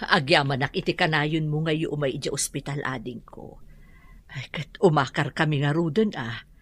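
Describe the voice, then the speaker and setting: A woman's voice: deep voice, normal speed, slightly rough, no echo. A woman playing a humble, reassuring older mother in a radio drama, with a Filipino Ilocano accent. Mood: grateful, calm.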